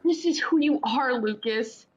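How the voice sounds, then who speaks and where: Actress as high-voiced supportive younger sister, muffled recording. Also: female voice, measured pace. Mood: reassuring. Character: supportive, teasing younger sister, a new mother. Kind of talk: radio play acting, naturalistic dialogue. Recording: dull, muffled low-fidelity microphone.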